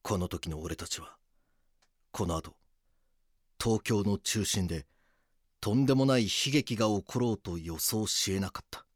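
An actor playing a grave, weary police detective, narrating a case in the first person with serious, measured delivery. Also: male voice, lowish voice, dry recording, clean studio sound.

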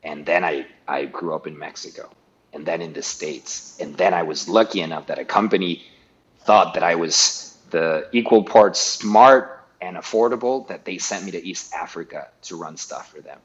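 Deep-voiced man talking in rambling restarts. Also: normal speed, muffled recording. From Guatemala. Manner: rambling, many restarts and repeated words. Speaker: guest. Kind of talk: casual interview talk.

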